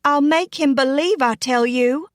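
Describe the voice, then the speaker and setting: A spiteful young Southern belle, as a female narrator plays her, scornful and emphatic. Her voice rides high and sneers through each line, like a schemer used to getting her way in an audiobook drama. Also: normal speed, a little mellow, no echo, clean studio sound, natural voice. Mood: scornful, insistent. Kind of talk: audiobook character acting.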